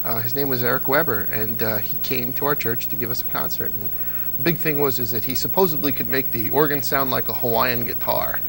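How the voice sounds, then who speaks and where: A man's voice: mid-range voice, a little fast, creaky, background noise. A man in his thirties, a guest on a studio interview show, telling stories in a chatty way with many fillers. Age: 30s. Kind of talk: casual interview. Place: TV studio set.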